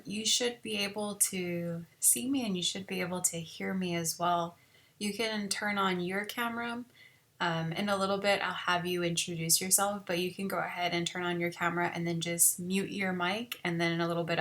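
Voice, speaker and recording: A woman from South Texas, a college biology instructor, giving a relaxed, chatty online class orientation over a video call. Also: on the low side, normal speed. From Rio Grande Valley, South Texas. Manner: relaxed, chatty, many fillers.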